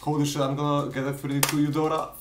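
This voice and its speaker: A man in casual voice chat during a speedrun race, excited and swearing as he banters with friends. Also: fairly high pitch, fast talker, background noise.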